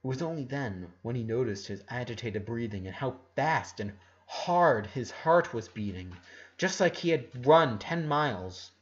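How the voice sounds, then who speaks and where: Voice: medium pitch, lively intonation, measured pace, highs cut off. A narrator giving a dramatic reading of prose fiction.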